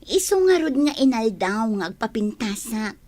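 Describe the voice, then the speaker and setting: An actress playing a vain, sharp-tongued young village woman in a comedy radio play. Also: a little high, big pitch swings, normal speed. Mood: dreamy.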